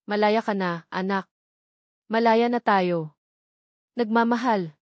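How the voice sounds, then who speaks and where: A loving older father reassures his daughter in a letter in an audio love story, as a woman narrator reads it. The delivery is even and measured, at a middling pitch, and the sound is dry. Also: no room sound, lightly acted. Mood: reassuring.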